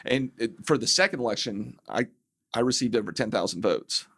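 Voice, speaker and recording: A man's voice: medium pitch, animated, a little fast, a bit bright, no room sound, clean studio sound. A man, a city council member, speaking as a podcast guest in a wry, analytical way with many fillers. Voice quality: gravelly. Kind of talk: casual interview chat.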